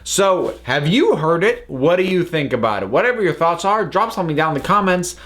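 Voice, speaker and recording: A man hosting a review vlog, talking casually and thoughtfully, with halting phrasing that often doubles back on words. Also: on the high side, sing-song, fairly bright tone. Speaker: review channel host. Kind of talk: casual spoken review to camera.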